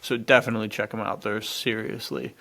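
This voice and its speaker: A man's voice: on the low side, steady tone, a little fast, gravelly, pushed and bright. A young American man chatting casually and enthusiastically as a podcast co-host, with a lot of slang. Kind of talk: casual chat.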